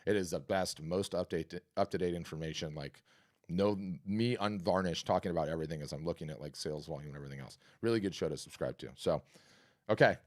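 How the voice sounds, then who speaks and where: A man hosting a live art business workshop and answering questions, talking in an energetic, conversational, salesy way with a scratchy, hoarse voice. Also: low voice, fast talker. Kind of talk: live webinar talk and Q&A.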